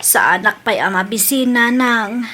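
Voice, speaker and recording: A woman's voice: a little high, measured pace, sharp tone, slight background noise. A woman with an Ilocano accent acting a sluggish grown daughter in a radio drama. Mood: reluctant.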